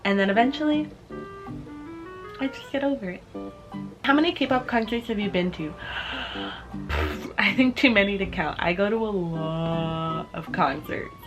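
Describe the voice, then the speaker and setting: Casual vlogger: American woman in her twenties, bubbly and conversational, average pitch, speaking over background music. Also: lively intonation, unhurried, pauses often. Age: 20s. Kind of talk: casual chatty Q&A to camera. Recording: music playing behind the voice.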